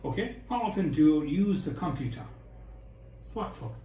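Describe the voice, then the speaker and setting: A man takes the examiner's role and lays out each part with slow, repetitive instructions. His voice sits mellow and low in brightness. The room gives it a clear echo, and faint ambient noise runs underneath. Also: sing-song. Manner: slow, instructive, repeating phrases. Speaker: IELTS examiner, teacher. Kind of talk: mock speaking test. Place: exam room indoors.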